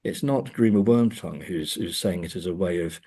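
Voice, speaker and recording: A man talks as a scholarly interview guest. His voice sits very low and has a husky grain. He feels his way through each point, pausing and searching for words as he reflects. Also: dark tone. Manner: hesitant, thoughtful, halting delivery. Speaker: interview guest, academic and author. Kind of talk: conversational literary and film discussion.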